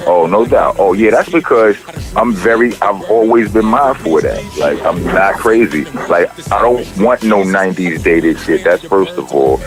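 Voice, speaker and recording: A middle-aged man with a deep voice, a rapper talking casually in an interview, rambling and profane, with many restarts. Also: lively intonation, normal speed, faint music behind, rough recording.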